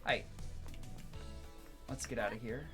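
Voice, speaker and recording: A man's voice: medium pitch, slow pace, music in the background. A man hosting a gaming playthrough, chatting casually in slang and thinking aloud as he plays. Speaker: gaming video host.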